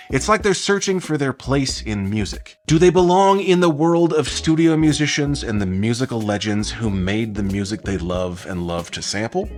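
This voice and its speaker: A man giving an enthusiastic, conversational video essay commentary, explaining things with emphasis as host. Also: on the high side.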